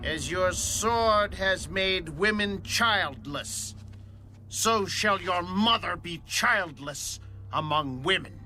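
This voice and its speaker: A man's voice: sits high, lively intonation, slow, over music. A man playing a stern, grave prophet in a dramatized audio Bible, declaiming his lines. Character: Samuel, stern, grave male prophet of ancient Israel.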